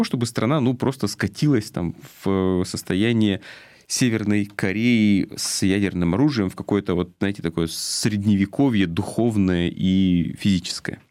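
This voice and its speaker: A man giving political commentary off the cuff, earnest and deliberate, stressing his key words. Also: on the low side, a little mellow, dry recording, clean studio sound.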